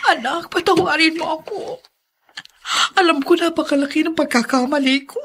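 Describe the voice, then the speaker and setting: A woman's voice: high-pitched, moderate pace, a bit bright. A woman with a Filipino accent playing a remorseful, imploring mother in a melodramatic radio drama. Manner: tearful, imploring. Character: remorseful, pleading mother of a grown daughter.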